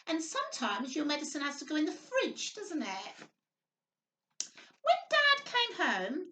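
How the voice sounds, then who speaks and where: A woman's voice: high voice, pushed and bright. A woman hosting storytime for young children, reading aloud and chatting in a sing-song, simple way with frequent tag questions. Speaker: children's storytime host, nursery worker. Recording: roomy echo, distant microphone.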